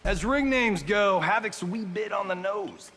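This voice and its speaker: Smug movie-star fighter, highish voice, animated intonation, flippant, mocking, game banter, reverberant. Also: male voice, moderate pace. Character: cocky, wisecracking male Hollywood action star. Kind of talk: video game character banter. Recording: long reverb, faint background noise.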